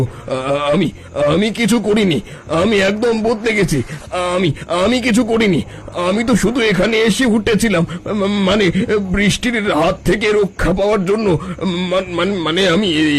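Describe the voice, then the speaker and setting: A man's voice: high-pitched, normal speed. A man voicing a sly, wheedling fake holy man in a cartoon folktale. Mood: panicked, pleading. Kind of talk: Bengali cartoon folktale acting. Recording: music bed behind the voice.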